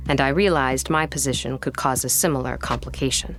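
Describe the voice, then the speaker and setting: A woman playing a poised, curious historian in a gothic audio drama, narrating in a measured, literary way. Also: extremely low pitch, a bit bright. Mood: reflective. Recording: music playing behind the voice.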